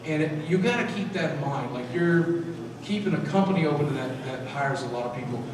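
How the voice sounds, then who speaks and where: A man speaking to a live audience at a convention panel in an energetic, direct way, with quick restarts. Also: on the high side. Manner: energetic, talks straight to the crowd with quick restarts. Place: large echoey convention hall. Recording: echoey convention hall from far off with noise. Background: hall ambience noise.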